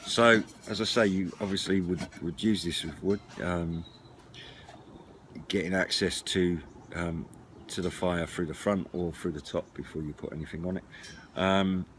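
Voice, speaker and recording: A middle-aged man with a British accent explains his gear in a low voice. He talks informally and haltingly, feeling his way through each point. The recording is made outdoors at a table, with clear background noise. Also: steady tone, a bit bright. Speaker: outdoor gear and CB radio vlogger. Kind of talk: informal product demonstration to camera. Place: outdoors at a wooden patio table.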